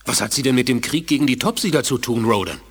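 An actor playing a courteous, somewhat guarded alien leader in a science fiction radio drama. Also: male voice, medium pitch, expressive, fast talker, slight background noise, natural voice. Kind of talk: 1980s German radio drama acting.

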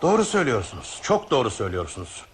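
A man's voice: medium pitch, huge pitch swings, racing. An actor playing a polite, curious travelling salesman in a radio drama, eagerly agreeing. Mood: eager, agreeable. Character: agreeable, curious male typewriter salesman on a night train. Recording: noisy old radio play recording.

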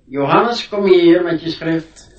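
Strict radio-play schoolmaster, pompous and sarcastic, high voice, slow delivery, echoing room. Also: male voice, recorded from a distance, highs cut off. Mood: irritated, strict. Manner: pompous, scolding, deliberate. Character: stern, pompous male schoolmaster, scolding and sarcastic. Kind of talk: radio drama acting. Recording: roomy, set back from mic.

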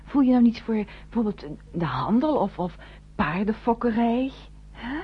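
An actress playing a compassionate, gently reasoning woman in a wartime Dutch radio play. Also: on the high side, expressive, moderate pace, breathy edge. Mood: gently coaxing. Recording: dull, slightly noisy old radio recording.

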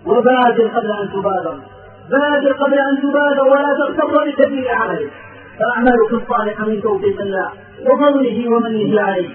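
A man with a very high voice delivers a dramatic, pleading sermon in the manner of an Islamic preacher telling a cautionary tale. He sounds distant in an echoing space, with music clearly behind him. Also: fast, phone-quality sound. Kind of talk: emotional sermon with storytelling and rhymed exhortation.

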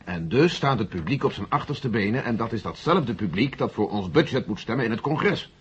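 An actor playing a brusque, authoritative general in a 1970s science fiction radio play. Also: male voice, fairly low pitch, animated, a little fast, close to an everyday voice. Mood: defensive, irritated. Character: brusque male American general in charge of space mission. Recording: dull, noisy vintage radio play recording.

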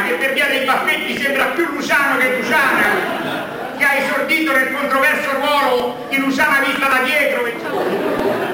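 A man playing a frantic priest in a stage comedy, chanting absurd rites with insistent repetition. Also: very high-pitched. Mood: pompous, officiating. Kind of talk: theatrical stage comedy monologue. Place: theatre stage before laughing audience. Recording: echoey theatre stage, distant mic, noisy.

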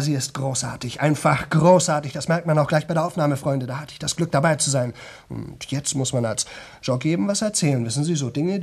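A man's voice: mid-range voice, vocal fry, darkish tone, slightly rough. An actor playing a boastful young man who talks nonstop to an audience in a radio play, chatty, rambling and repetitive. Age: young adult.

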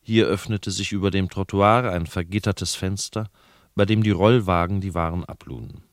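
A man reading a novel excerpt as narrator, calm and measured. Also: sits low, dry recording, clean studio sound.

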